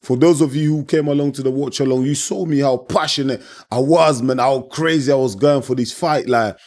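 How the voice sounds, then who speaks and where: A man whose voice is hoarse and worn out, speaking in a mellow, warm tone. He talks casually and with passion, repeating himself and leaning on friendly filler words as he reacts on his own channel. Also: medium pitch, a little fast. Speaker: boxing channel host. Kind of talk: casual post-fight reaction monologue.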